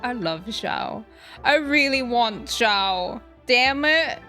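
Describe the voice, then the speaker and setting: A woman's voice: a little high, lively intonation, takes it slowly, sharp tone. Casual woman streamer over faint music. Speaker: game streamer. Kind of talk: casual reaction commentary. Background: game music.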